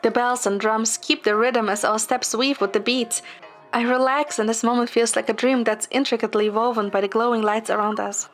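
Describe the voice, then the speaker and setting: A woman's voice: a little high, fairly even intonation, brisk. A woman reading scripted first-person story narration. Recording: music behind the voice. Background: music.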